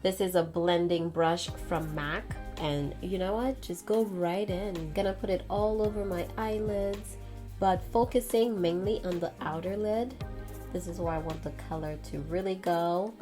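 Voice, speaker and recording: A woman's voice: on the low side, fairly even intonation, measured pace, mellow, soft background music. A woman giving a casual makeup tutorial in a chatty, playful, slangy way. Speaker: beauty vlogger.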